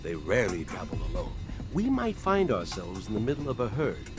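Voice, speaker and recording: An actor playing an eccentric, pedantic scholar with a formal, fussy way of talking in a sci-fi video game. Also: male voice, fairly low pitch, wildly swooping pitch, fairly quick, over music. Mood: fascinated, lecturing. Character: eccentric, pedantic, wordy scholarly man on Mars.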